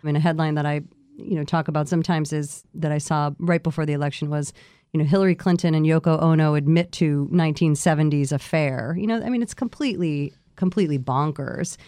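A woman's voice: deep voice, fast, dark tone, dry recording. A woman, talking conversationally as an interview guest who teaches journalism. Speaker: journalism educator, podcast guest.

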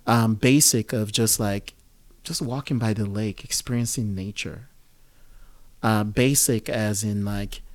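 A man in his thirties from Nigeria speaks in a lowish voice as a guest in an easygoing conversation. He thinks aloud, circling back with restarts and plenty of fillers. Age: 30s. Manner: reflective, rambling, many fillers. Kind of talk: casual conversation.

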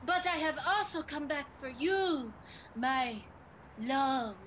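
A woman's voice: high voice, expressive, slow, a bit bright, slight background noise, exaggerated voice. A woman playing a pleading lovesick suitor. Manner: overwrought, pleading. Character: lovesick male former sweetheart returning. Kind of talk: student comedy radio play acting.

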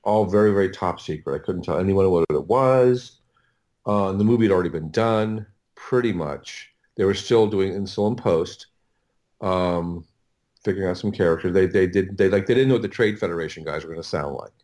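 A middle-aged man with a fairly low voice talks casually as an interview guest. He is a producer recounting his work in a halting, rambling way. He often repeats words and restarts his sentences. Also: darkish tone.

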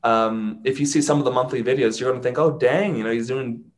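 A man's voice: medium pitch, fast. A man chatting casually as a guest, talking at length with many "you know" fillers. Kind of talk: casual chat.

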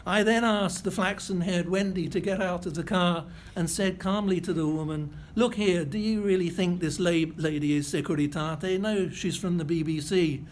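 Older man, lecturer, high-pitched voice, measured precise storytelling. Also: fairly even intonation, slight background noise. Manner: measured, precise storytelling with occasional self-corrections. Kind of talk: reading a prepared memoir-style lecture.

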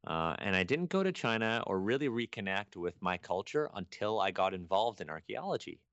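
Low-voiced chatty man. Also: fairly quick, no echo, highs cut off. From Canada. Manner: rambling, animated, many restarts and fillers. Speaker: guest archaeologist. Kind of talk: casual podcast chat.

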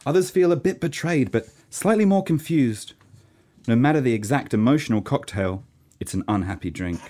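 A man's voice: medium pitch, animated, normal speed, mellow. A man from Norway narrating a scripted radio play in a theatrical, storytelling way.

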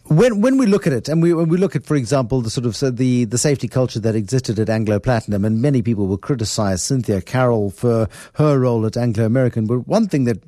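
Man, radio host, mellow, probing questions, dry sound. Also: medium pitch, lively intonation, quick pace, few pauses, no echo. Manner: probing questions, restarts phrases. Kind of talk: radio interview.

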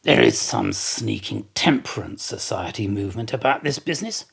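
A sarcastic, blustering whaling officer from a classic sea novel, acted out by a male reader. The voice is gravelly and husky, emphatic and theatrical, full of mocking indignation. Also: fairly low pitch, lively intonation, moderate pace, fairly bright tone, exaggerated voice. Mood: indignant. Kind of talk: dramatised classic novel reading.

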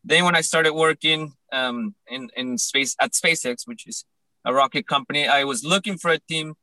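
Bright-voiced man from Colombia, chatty. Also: on the high side, moderate pace, no room sound, low-quality recording. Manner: fluent, expansive storytelling with fillers. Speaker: club founder. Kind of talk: casual online panel chat.

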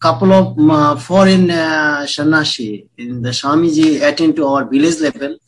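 A man from Bangladesh with a Bengali accent, speaking devoutly and haltingly in non-native English as he shares in a group. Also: fairly high pitch, steady tone, low-quality recording. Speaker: class participant, devotee. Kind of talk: personal sharing in group discussion.

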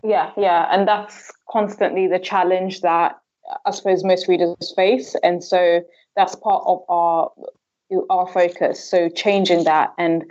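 A woman co-founder talks in a measured, slightly halting way during a podcast interview. Her voice sits a bit low and the sound is somewhat dull and muffled. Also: flat delivery, darkish tone. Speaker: co-founder guest, former journalist. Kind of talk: interview conversation.

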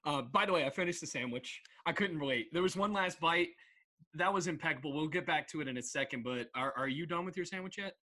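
A young man co-hosts a laid-back podcast with a bright, edgy tone. His voice sits slightly high. He jokes a lot and drops quick, animated asides. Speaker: co-host. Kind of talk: casual podcast chat.

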